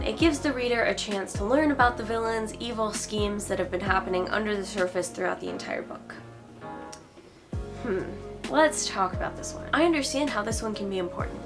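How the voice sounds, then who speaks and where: A woman hosting a writing advice video, giving opinionated commentary in an informal, sarcastic way. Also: on the high side. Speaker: host of a writing advice channel.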